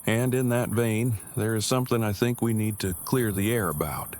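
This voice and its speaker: A man's voice: fairly low pitch, moderate pace, darkish tone, natural voice. A man playing an awkward, earnest survivor in an audio drama, speaking in stiffly formal, long-winded, precise phrases. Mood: awkward, careful. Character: awkward, verbose, earnest male survivor and loyal friend. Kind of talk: fan audio drama acting.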